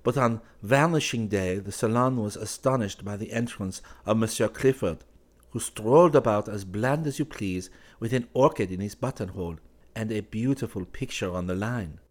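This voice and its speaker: A man's voice: fairly low pitch, normal speed, close to an everyday voice. A man voicing a teasing young Parisian woman, sly and merry. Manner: lightly mocking, airy.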